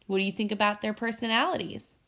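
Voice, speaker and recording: A woman's voice: mid-range voice, quick pace, gravelly, a bit bright. Woman reading a children's story aloud. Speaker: teacher reading aloud to pupils.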